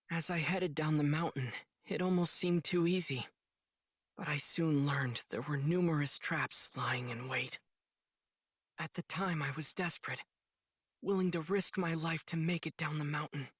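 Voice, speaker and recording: A man's voice: a little high, a bit bright, slightly rough, no room sound, studio quality. An actor playing an earnest, compassionate teenage boy swordsman who narrates his memories reflectively in a video game. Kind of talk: scripted narration recounting memories.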